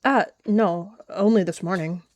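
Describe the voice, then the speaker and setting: A woman's voice: lowish voice, measured pace, dry recording, lightly acted. Composed, secretive warrior she-cat played by a woman.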